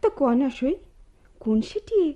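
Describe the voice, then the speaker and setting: An actress playing a sharp-tongued, teasing young village woman in a radio drama, with a rural Bangladeshi Bengali accent. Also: high-pitched, big pitch swings, moderate pace. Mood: coaxing, sly. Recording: muffled radio drama recording.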